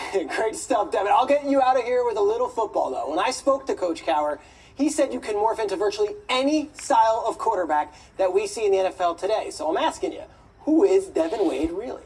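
A man's voice: high voice, animated, fairly quick, darkish tone, breathy edge, far from the mic, full character voice, low-quality recording. A man playing a polished, upbeat football show interviewer in a sports game.

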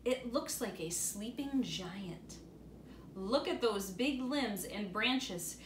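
A woman reading aloud as an excited, wide-eyed young boy in a storybook, with an expressive delivery. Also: mid-range voice, takes it slowly. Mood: playful, content. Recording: roomy echo, faint room noise.

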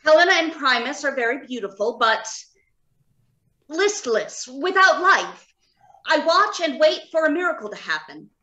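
A woman playing an earnest, uneasy doctor of robot science in a radio drama, deliberate and emphatic. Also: high voice, big pitch swings, pushed and bright, highs cut off, heavily acted. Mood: grave. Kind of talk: old-time radio drama acting. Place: home, remote video call.

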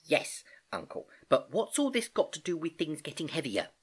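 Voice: medium pitch, huge pitch swings, moderate pace, husky, pitched above the performer's own voice. A storybook narrator voicing a curious, cheeky young niece who asks eager questions. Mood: curious, dawning understanding.

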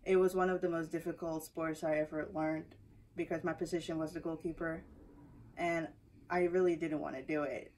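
A young woman with a Guyanese accent telling her life story to camera, soft-spoken and hesitant, with many fillers, recorded indoors from a few feet away. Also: deep voice, fairly even intonation, moderate pace, recorded from a distance, rough recording. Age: young adult. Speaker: athlete introducing herself. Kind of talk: personal story told to camera. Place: small indoor room before a banner.

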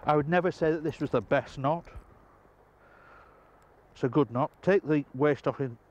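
A man's voice: a little high, moderate pace, muffled recording. Older man explaining fly fishing outdoors. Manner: calm, explanatory, enthusiastic about the fish. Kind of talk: outdoor instructional presenting. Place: outdoors on a river bank.

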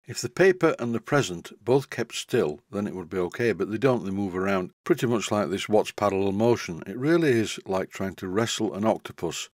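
A man's voice: on the low side, expressive, brisk, few pauses, dry recording. An older man narrates a workshop tutorial in a scripted voice-over, with dry, self-deprecating humour. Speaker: model engineering tutor.

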